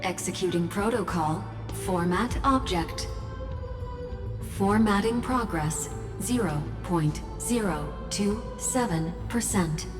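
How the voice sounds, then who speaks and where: A woman's voice: medium pitch, slow pace, natural voice. A woman voicing an impassive female computer system in a video game, making clipped, emotionless announcements. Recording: long hall reverb under music.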